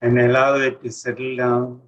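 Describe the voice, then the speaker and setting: A man with an Indian accent leads a guided meditation, speaking calmly and deliberately with pauses between phrases. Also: medium pitch. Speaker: meditation teacher. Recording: dull, lo-fi online session audio.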